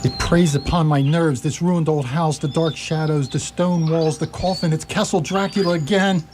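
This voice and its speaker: A man's voice: fairly high pitch, steady tone, moderate pace, noisy background, close to an everyday voice. A man playing a haunted, jittery survivor of terror, speaking hesitantly in a radio drama. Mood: fearful. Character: nervous, haunted young Englishman, survivor of vampire terror.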